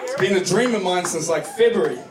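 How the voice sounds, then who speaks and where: A man's voice: sits high, lively intonation, brisk. A man with a New Zealand accent performing a stand-up comedy set, telling joking stories with plenty of swearing. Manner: profane, joking storytelling. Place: comedy club stage before a live audience. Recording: distant echoey club recording, noisy laughing crowd.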